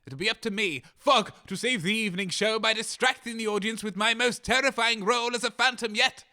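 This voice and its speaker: A high, very bright British male voice acted by a man for a radio comedy co-host. He is conceited, excitable and mischievous, announcing everything with pompous theatrical flourish. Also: brisk. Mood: scheming. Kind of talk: comedy audio drama narration.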